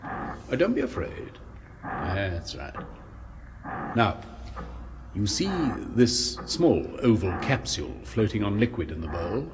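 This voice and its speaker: A man's voice: fairly low pitch, big pitch swings, takes it slowly, long pauses, long reverb tail, noisy background, exaggerated voice. An actor playing an apprehensive doctor in a 1970s radio drama, soothing and clinical as he explains, with some hesitation. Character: apprehensive male doctor, clinical yet solicitous experimenter.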